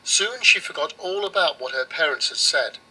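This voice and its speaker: A man narrating a children's storybook in a measured, expressive way. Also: fairly high pitch, slightly rough, low-quality recording.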